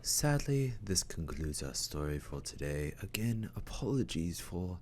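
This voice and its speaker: Man as a very low, theatrical, droll storyteller. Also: big pitch swings, unhurried, background noise. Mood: apologetic, droll. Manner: theatrical, dry, formal word choice. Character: formal, droll, apologetic male storyteller host. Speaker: host and narrator. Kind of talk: scripted narration.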